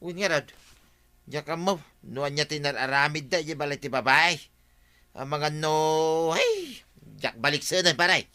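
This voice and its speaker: A man's voice: fairly high pitch, animated, a little slow, bright, forceful tone, clear, resonant tone. A man playing a meddling, scolding older village official in a comedy drama. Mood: indignant. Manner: scolding, blustery.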